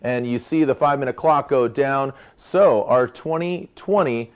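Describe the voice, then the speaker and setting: A man hosts a virtual awards show in a loose, upbeat way. His voice sits at an ordinary middle pitch. He talks casually and throws in slang. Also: unhurried.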